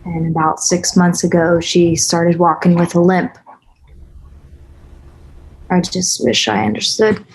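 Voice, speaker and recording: A woman's voice: on the low side, little pitch movement, slow, vocal fry, long pauses, a little hiss or hum, low-quality recording. A woman acting a tough, weary, guarded single mother in a naturalistic screenplay read, blunt and sardonic. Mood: weary, worried. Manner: blunt, sardonic, plain-spoken.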